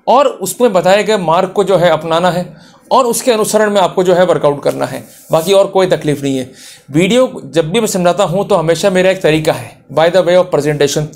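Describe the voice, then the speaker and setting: High-pitched Indian man lecturing emphatically. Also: darkish tone. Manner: emphatic, exhorting, rhetorical questions addressed to the listener. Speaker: presenter of a legal lecture series, advocate. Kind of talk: motivational legal lecture in colloquial Hindi mixed with English terms.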